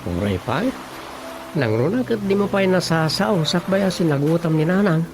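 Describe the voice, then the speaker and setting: A man's voice: fairly high pitch, big pitch swings, normal speed. A man playing a brooding, grumbling household character in an Ilocano radio drama, with an Ilocano accent and muttering delivery. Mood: concerned. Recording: loud music behind the voice.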